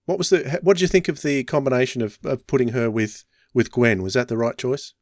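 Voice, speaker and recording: A man's voice: mid-range voice, fast talker, darkish tone, no room sound, clean studio sound. A man hosting a podcast, chatting casually with guests about audio dramas. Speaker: podcast host.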